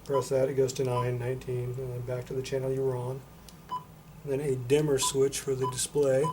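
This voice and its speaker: A man's voice: mid-range voice, completely flat delivery, a little fast. A man giving a casual, unscripted product demonstration in a matter-of-fact, explanatory way. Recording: noticeable background noise.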